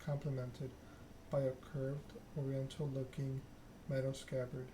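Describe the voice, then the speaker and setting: Male ASMR game streamer, flat and soothing read, clear background noise. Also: mid-range voice, measured pace. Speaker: streamer reading a narrative game aloud. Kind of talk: ASMR narration of game text.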